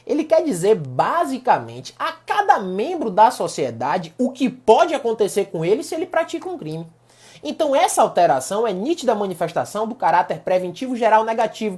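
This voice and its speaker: A man from Salvador with a Bahian accent, giving a casual online law lecture and talking to his audience as a group of friends. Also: sits high. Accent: Bahian Portuguese. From Salvador, Bahia, Brazil. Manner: chatty, repeats points, addresses audience as "galera". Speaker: law professor.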